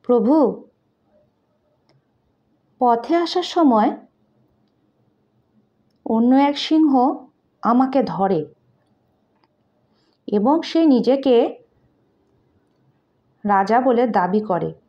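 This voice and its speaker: Slow-paced Bengali woman storyteller, dull recording. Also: on the high side, expressive, dark tone. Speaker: storyteller and teacher for children. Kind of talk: slow read-aloud moral story with translation.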